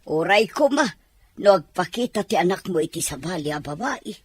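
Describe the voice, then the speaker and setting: A woman's voice: on the low side, very animated, normal speed, husky. Meddling older Ilocano mother. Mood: scheming, hopeful. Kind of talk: radio drama acting.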